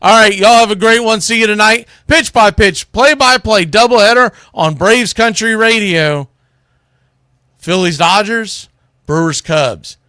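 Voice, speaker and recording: A man's voice: sits high, measured pace, bright, forceful tone, dry recording. A man hosting sports talk radio, giving blunt, exasperated, folksy opinions into a close microphone. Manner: exasperated ranting, blunt, mildly salty, folksy.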